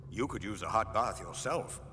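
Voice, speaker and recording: A man voices a refined older servant from an animated drama. His low, lively voice is wry and formal, teasing politely over a noisy background. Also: normal speed, natural voice. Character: droll, sardonic, refined older male servant. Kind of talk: animated series drama acting. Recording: loud background noise.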